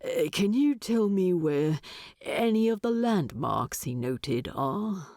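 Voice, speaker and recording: A woman performs a gruff storybook dwarf man with a creaky voice. He speaks slowly and formally, hesitating politely, yet his pitch moves in a lively way. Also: dry recording, studio quality, heavily acted. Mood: awkward, polite. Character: gruff, awkward, courteous dwarf man in exile.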